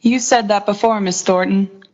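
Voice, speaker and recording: A woman's voice: mid-range voice, fairly even intonation, moderate pace, a little mellow, close to an everyday voice. A teenage girl playing an ambitious, defiant young woman who narrates her story in a 1940s suspense radio play. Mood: defiant, bitter. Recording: muffled home voice track, music behind.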